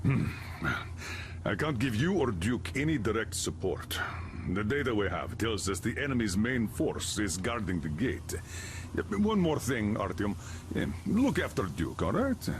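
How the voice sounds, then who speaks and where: An actor playing a stern military commander who gives fatherly, gruff orders before a mission. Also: fairly low pitch, sing-song, unhurried, noisy background. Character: stern older male military colonel. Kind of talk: video game cutscene acting.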